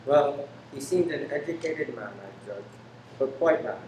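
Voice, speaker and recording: A man playing an earnest witness giving formal, measured testimony in a radio play. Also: mid-range voice, lively intonation, lightly acted. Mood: grave, earnest. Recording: echoey room, clear background noise.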